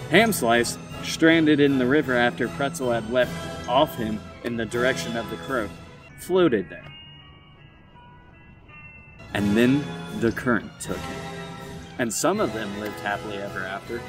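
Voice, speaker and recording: Male storyteller, mid-pitched, theatrical but informal, corrects himself as he goes, recorded a little far from the mic. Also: expressive, unhurried, pauses often, music in the background. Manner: dramatic delivery, stumbles and self-corrects. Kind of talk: reading a comic short story aloud with informal asides.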